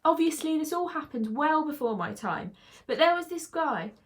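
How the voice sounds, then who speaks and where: A cheeky male toy llama played by a woman narrator chatters away in a raised, youthful pitch. He is boastful and slangy, full of exclamations, like a storybook show-off. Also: expressive, moderate pace. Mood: chatty, boastful. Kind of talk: children's audiobook character voice.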